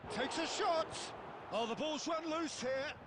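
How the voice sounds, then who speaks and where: A man calls a football match play by play in a high, carrying voice. He stays measured through build-up and lifts sharply when a chance appears. The capture carries a clear layer of noise underneath. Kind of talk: scripted match commentary.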